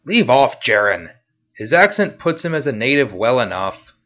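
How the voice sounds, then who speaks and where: A man's voice: mid-range voice, very animated, fairly bright tone. A man voicing an impatient, level-headed male guard who speaks firmly. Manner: brisk and firm. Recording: dull lo-fi recording.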